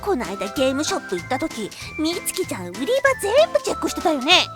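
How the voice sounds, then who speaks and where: A woman acting a bubbly, teasing, excitable teenage schoolgirl in a Japanese anime-style voice drama. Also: high-pitched, fast, over music. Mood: teasing complaint. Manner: exclamatory, playful, wheedling.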